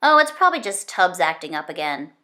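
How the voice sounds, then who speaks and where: A woman's voice: lowish voice, sing-song, brisk, bright, forceful tone. Woman as a dismissive male spaceman. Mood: dismissive, scolding. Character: gruff, dismissive male cartoon spaceship crewman.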